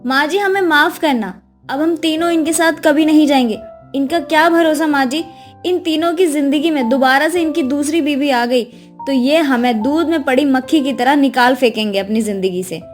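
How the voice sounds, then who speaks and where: A woman plays a dutiful young daughter-in-law in a cartoon family tale. Her voice is high, earnest and resolute, with music playing behind it. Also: normal speed, lightly acted. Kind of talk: Hindi moral story cartoon dubbing.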